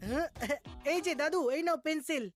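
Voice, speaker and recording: A woman voicing an eager little child in a cartoon, excitable and given to wheedling. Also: high voice, fairly quick, close to an everyday voice. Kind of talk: cartoon voice acting. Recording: prominent cartoon music behind.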